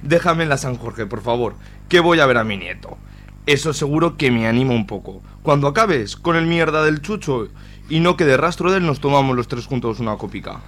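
A man's voice: mid-range voice, animated, fairly quick, a bit bright, natural voice. A man playing a grandfather who is shaken up, hesitates and swears. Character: older grandfather, shaken and crude.

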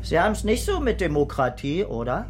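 An actor playing a sarcastic police detective who needles a suspect in a radio crime drama. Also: male voice, on the high side, big pitch swings, a little fast, a little hiss or hum. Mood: needling. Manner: mocking, needling.

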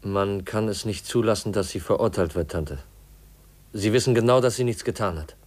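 Young male lead played by an actor, lowish voice, earnest, hesitant, troubled, formal 1950s radio drama delivery. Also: moderate pace, darkish tone, lightly acted. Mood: grave, accusing. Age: young adult. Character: earnest, troubled young nephew confronting his aunt. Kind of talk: 1950s German radio play acting.

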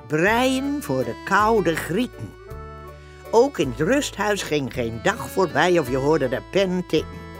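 A woman's voice: lowish voice, very animated, takes it slowly, soft background music. A woman narrating a scripted story for a comic radio play.

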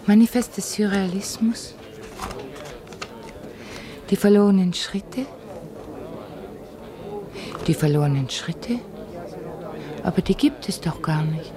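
An actress playing a dreamy, restless young woman in a literary radio drama, speaking in a halting, trance-like way. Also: medium pitch, lively intonation, crowd noise. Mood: dreamy, searching.

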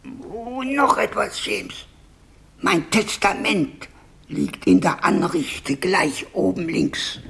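An actor playing a fearful, resigned old English lord with a thin, quavering voice in a 1950s German radio play. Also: male voice, high voice, animated, slow pace, creaky, a bit bright, exaggerated voice. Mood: resigned, grave. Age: older. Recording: old radio recording, faint hiss.

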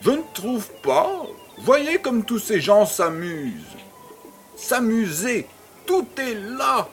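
A male actor plays a jovial aristocratic baron in an audio drama. His high voice swoops widely and takes its time, grand and urbane, with faint music behind. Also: very animated, takes it slowly, full character voice. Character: jovial, pleasure-loving aristocratic baron hosting a fête.

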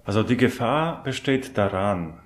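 A man's voice: on the low side, animated, measured pace. A man giving a reflective personal account in searching, hesitant phrases. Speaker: interviewee. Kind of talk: reflective personal account in a radio documentary drama. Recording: music behind the voice.

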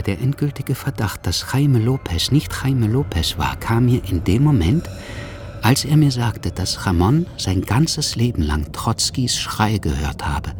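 A man's voice: mid-range voice, noisy background. A man giving scripted, literary narration in a radio drama.

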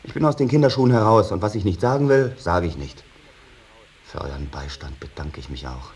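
Sullen, deep-voiced young son. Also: male voice, fairly quick, a little mellow, breathy edge. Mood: defiant. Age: young adult. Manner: mocking, dismissive, insolent. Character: sullen, cynical, defiant young bohemian son. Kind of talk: German radio drama acting.

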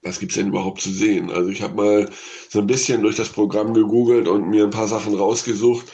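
Male radio film reviewer, gravelly fry, unscripted, thinking out loud. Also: lowish voice, flat delivery, brisk.

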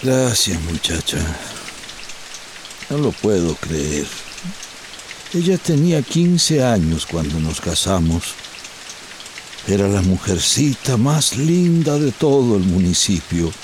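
Bereaved older village founder: humble, regretful, unhurried, widely swinging intonation, Central American Spanish accent, radio drama. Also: male voice, fairly low pitch, very animated, a little mellow, slight background noise. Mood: grieving, wistful. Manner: mournful, drawn-out delivery.

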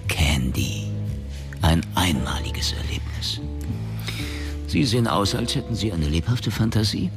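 An actor playing a brash, wily, middle-aged company boss in a radio drama, gravelly and self-important, with hesitant filler sounds between phrases. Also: male voice, low-pitched, animated, a little slow, long pauses, big-room sound, music in the background. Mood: seductive, smug.

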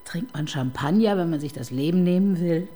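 A woman's voice: lowish voice, normal speed, dark tone, close to an everyday voice. An actress playing a skeptical female police inspector in a radio crime drama, probing her colleague with dry irony. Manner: dry, probing, ironic questioning. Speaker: detective chief inspector.

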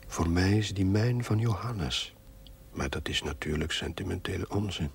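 A man playing a reflective, wistful diarist in a television drama voice-over, over faint rumbling noise. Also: normal speed, gravelly. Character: reflective man reading his diary aloud, wistful, self-deprecating.

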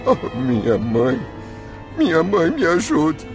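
A man's voice: a little high, huge pitch swings, takes it slowly, over music, full character voice. An actor playing a volatile, anguished male patient, the spirit of a suicide, in a radio drama. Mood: tearful pleading.

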